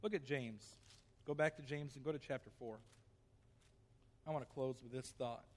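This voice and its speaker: A man's voice: medium pitch, normal speed, a little mellow, long reverb tail. A male pastor preaching a sermon in a church, reading scripture aloud and then pressing his point with rising emphasis.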